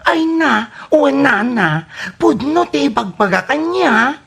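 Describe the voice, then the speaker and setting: An actor playing an excitable, easily offended villager in an Ilocano radio comedy drama, with an Ilocano accent and dramatic exclamations. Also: sits high, slow pace, creaky, full character voice. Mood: excited.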